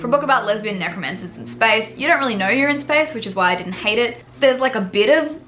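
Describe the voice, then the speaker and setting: A woman's voice: on the high side, animated, fast talker, fairly bright tone, slight background noise. A woman, chatting casually and self-deprecatingly about the books she read this month from a small home study. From Australia. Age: 20s. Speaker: book vlogger. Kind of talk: casual monthly reading wrap-up.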